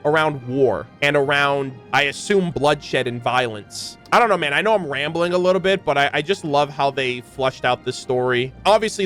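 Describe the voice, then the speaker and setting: A man's voice: mid-range voice, fairly bright tone. A man giving a casual reaction to game lore, alternating between reading text aloud and rambling, hyperbolic commentary with casual swearing. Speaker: YouTube reaction creator.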